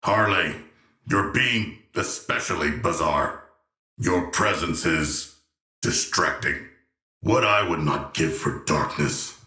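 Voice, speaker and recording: An actor voicing a hulking humanoid shark villain in a video game, erudite and gentle yet proud, speaking in formal, measured, deadpan phrases. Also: male voice, low-pitched, roomy sound, exaggerated voice. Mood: dry, aloof. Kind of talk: video game character voice lines.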